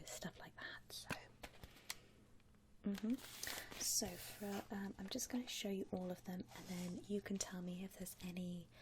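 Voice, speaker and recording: A woman whispering a soothing ASMR customer-service roleplay, playing a polite, reassuring shop assistant in an unhurried, breathy way. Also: fairly low pitch, background noise.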